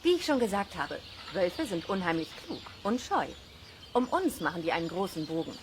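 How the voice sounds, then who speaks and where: Casting: friendly wolf expert, lowish voice with animated melody, patient and amused, children's audio drama. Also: female voice, normal speed. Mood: friendly, instructive. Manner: patient, lightly amused explaining. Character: friendly, knowledgeable woman who looks after wolves. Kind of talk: children's radio play dialogue. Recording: faint cassette noise.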